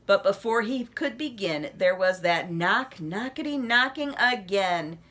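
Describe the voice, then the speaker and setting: A woman reading a children's story aloud as an expressive narrator. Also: mid-range voice, a little slow. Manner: animated, addresses young listeners with questions.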